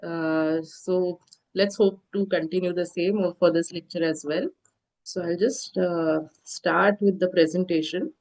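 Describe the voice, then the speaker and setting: A woman giving an online insurance lecture, sounding nasal from a cold, explaining steadily with filler pauses. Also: on the low side, steady tone, a little mellow. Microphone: video call.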